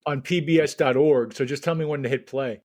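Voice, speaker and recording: A man from New York, a guest historian, teasing the host with quick banter on a podcast livestream. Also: medium pitch, darkish tone. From New York, USA. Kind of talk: casual livestream chat.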